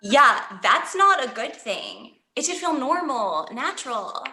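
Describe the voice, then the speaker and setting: An actress reading the part of Emily, a young painter, in a calm and blunt way at a comedy table read. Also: female voice, sits high, measured pace, reverberant, full character voice. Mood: breaking up. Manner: calm, blunt, matter-of-fact delivery.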